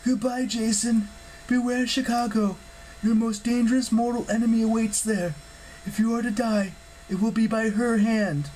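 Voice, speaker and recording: Audiobook role: male reader as an imprisoned goddess, grave and urgent, raised pitch, portentous delivery. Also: fairly even intonation, a little mellow, a little hiss or hum, poor audio. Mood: ominous warning. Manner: solemn, portentous, deliberate. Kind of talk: audiobook character acting.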